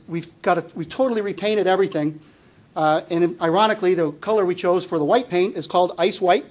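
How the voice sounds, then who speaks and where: A man hosting a press event, giving an emphatic, enthusiastic promotional presentation. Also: high voice. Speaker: sports executive hosting a press conference. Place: live press event at an arena.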